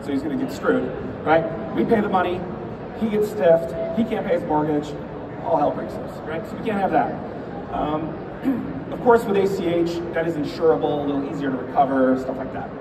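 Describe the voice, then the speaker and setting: Man, conference presenter, casual storytelling, a bit hoarse, loud background noise. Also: a little high, animated, normal speed. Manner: conversational storytelling, frequent "right?" and "okay?". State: slightly losing his voice, clears throat. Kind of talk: conference session talk. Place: conference hall. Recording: echoey hall, mic far off, loud background noise.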